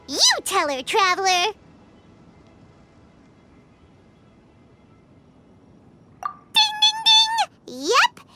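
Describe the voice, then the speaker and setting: A woman voicing a small, childlike fairy companion in an anime game, chirpy, cheeky and excitable, with a squeaky voice. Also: full character voice. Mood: teasing, then earnest storytelling. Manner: excitable, exaggerated storytelling. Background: music.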